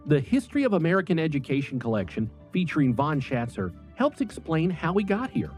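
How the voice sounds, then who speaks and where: A man reading an advertisement as a brisk, upbeat sales pitch. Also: huge pitch swings, darkish tone, faint music behind. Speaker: advertisement announcer. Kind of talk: ad read.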